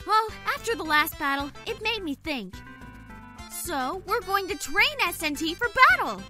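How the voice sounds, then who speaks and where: An eager young fox boy, as a performer voices him explains his training course in a very high, lively voice. Music plays clearly behind him throughout. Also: moderate pace. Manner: eager, instructive. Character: young male fox, helpful gadget-minded trainer. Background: background music.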